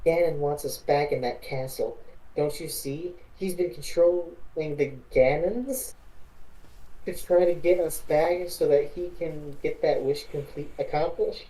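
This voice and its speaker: Woman acting runaway princess, weary, pressing, dark low voice, storybook drama. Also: unhurried, rough recording. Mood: suspicious, urgent.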